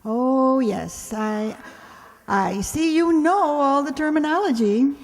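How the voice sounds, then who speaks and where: A woman acts a level-headed female roommate in a radio sitcom. She is patient and wry, with a high voice that moves up and down a lot, heard in a long-reverb hall. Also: lively intonation, slow pace, exaggerated voice. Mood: patient, dry. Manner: dry, patient, explaining.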